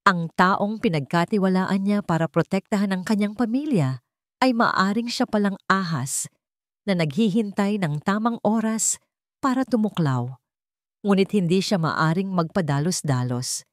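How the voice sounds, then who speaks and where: A woman's voice: medium pitch, no echo, clean studio sound. A woman with a Filipino accent reading a scripted dramatic story as its narrator.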